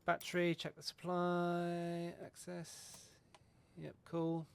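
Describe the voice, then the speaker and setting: Guy with a bit of fry in his voice, pitched a touch high, rambling casually through a flight sim checklist. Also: monotone, slow pace. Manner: chatty, swears, rambling, self-mocking. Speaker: flight sim video host.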